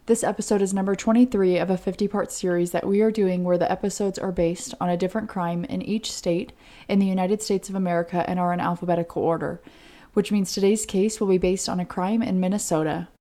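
A woman's voice: on the low side, steady tone, fast talker, warm tone. A woman co-hosting a podcast, telling a true-crime story in a conversational way. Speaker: podcast co-host.

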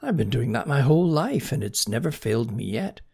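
Casting: motherly storybook snail lady, voiced by a narrator, patient, decisive, reassuring, with a husky tone. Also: mid-range voice, big pitch swings, brisk, full character voice. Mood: patient, assured. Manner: soft, reassuring, gently commanding.

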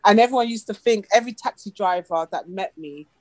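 British woman chatting in an interview, animated storyteller, dry close sound. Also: mid-range voice, fairly quick, dry recording. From England. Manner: lively storyteller, acts out quoted voices. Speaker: guest, animator. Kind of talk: casual interview chat.

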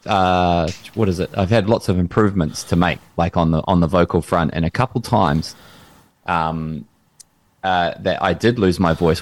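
A man talks casually as a podcast guest. His voice sits very low and the recording is dry and close. He rambles and repeats himself as he hunts for words. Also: no room sound. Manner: rambling, repeats words while searching for them. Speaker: band vocalist, podcast guest. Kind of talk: casual chat. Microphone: in-ear monitors with podcast mic.

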